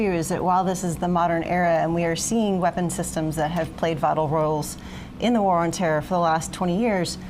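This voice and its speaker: A woman news correspondent asking a guest detailed, informed questions in a live broadcast. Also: lowish voice. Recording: noisy outdoor broadcast.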